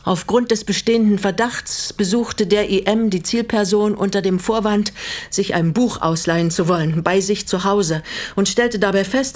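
An actress in a German radio play playing an older, vengeful widow who speaks formally with biting sarcasm and cold resolve. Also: female voice, medium pitch, close to an everyday voice. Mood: accusing.

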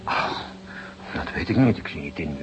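An actor playing an agitated, defensive bank deputy director, formal and protesting, in a detective radio drama. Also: male voice, a bass voice, animated, moderate pace, a little hiss or hum, low-quality recording. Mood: nervous, protesting.

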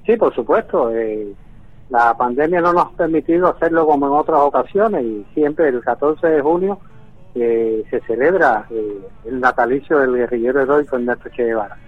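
A man in his sixties, born in Venezuela, speaking by phone from Havana in a measured way with frequent hesitations. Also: mid-range voice, dry recording. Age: 60s. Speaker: guest. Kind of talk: phone interview. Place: in Havana, Cuba. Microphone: telephone. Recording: tinny phone line.

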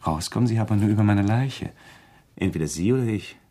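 An actor playing a deranged, coolly menacing killer in a German radio crime drama, lecturing insistently and deliberately about his mission with a husky voice. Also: on the low side, mellow, close to an everyday voice. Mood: provocative, menacing.

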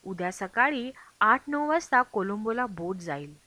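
A woman's voice: mid-range voice, animated, a little slow, sharp tone, no room sound. A woman audiobook reader voicing a kindly, polite male ship's captain who attends to his passengers. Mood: courteous.

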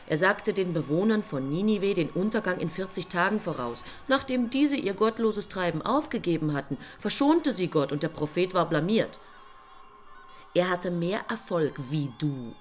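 A woman's voice: on the low side, animated, normal speed, faint music behind, natural voice. A woman playing a small, teasing angel in a radio play, with an ironic, coolly taunting manner.

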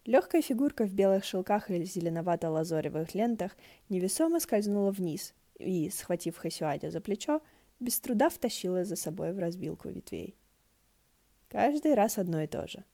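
A woman's voice: medium pitch, very animated, normal speed, dark tone. A woman narrating a story as an audiobook reader. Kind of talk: narrating fiction, acting out dialogue.

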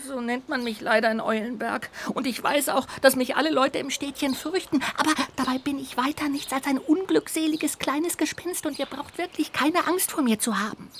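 A woman voicing a small, contrite, childlike ghost in a children's radio play, earnest, lamenting and expressive. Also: high-pitched, normal speed, hardly any pauses, slight background noise. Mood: apologetic, confiding. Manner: expressive, lamenting, swinging between exclamations and hushed confiding.

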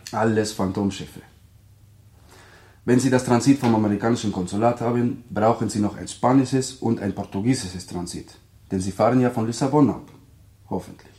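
A man acting a brisk, dryly ironic consular official, formal and instructing, in a German radio drama. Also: lowish voice, dark tone, roomy sound, close to an everyday voice.

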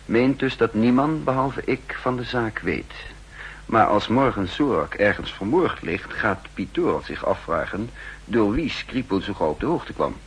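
Deep-voiced, shrewd radio spy. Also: male voice, expressive, unhurried, slightly rough, exaggerated voice, poor audio. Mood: cool, calculating. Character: shrewd, calm male spy posing as counterintelligence chief. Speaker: lead character and narrator. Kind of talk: 1960s Dutch radio play acting. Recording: hissy, humming 1960s radio recording.

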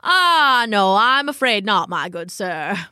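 A woman voicing a pompous, theatrical male raccoon from a storybook, with florid, drawn-out exclamations. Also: high-pitched, big pitch swings, bright, forceful tone, no echo, clean studio sound, exaggerated voice. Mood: regretful. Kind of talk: storybook character voice.

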